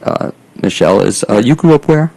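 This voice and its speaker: A man's voice: mid-range voice, lively intonation, fairly quick, creaky, warm tone, rough recording. A man hosting a talk show, chatting casually with guests, joking and stumbling a little over names. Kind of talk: casual interview chat.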